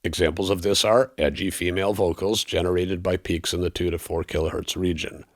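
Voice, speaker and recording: A man giving a scripted product review in a measured, analytical and precise manner. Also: deep voice, steady tone, no room sound, clean studio sound. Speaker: audio equipment reviewer.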